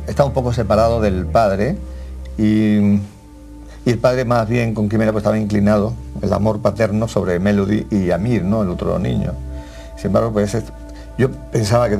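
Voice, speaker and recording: A man from recalling events in an interview, halting and hesitant. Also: lowish voice, fairly even intonation, creaky, warm tone, faint music behind. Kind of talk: interview recollection.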